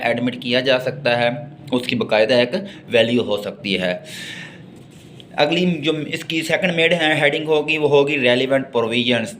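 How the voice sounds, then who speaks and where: A man's voice: mid-range voice, a bit bright. Pakistani male law lecturer, noisy recording. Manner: explanatory, repeats and rephrases points, slow and halting when reading. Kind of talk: bilingual lecture, reading English text and explaining it.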